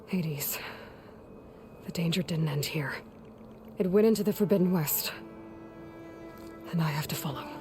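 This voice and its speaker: A young woman warrior from a video game, resolute and sardonic. Her voice sits on the low side and is often muttered and breathy. Loud music plays behind her. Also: unhurried, pauses often. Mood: grim, determined. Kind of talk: video game cutscene acting.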